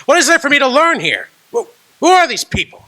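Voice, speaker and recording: An actor playing a frightened old miser who stammers and pleads with a spirit in a radio drama. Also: male voice, very high voice, sing-song, fairly quick, bright, forceful tone, exaggerated voice. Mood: fearful pleading. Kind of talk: amateur radio drama acting.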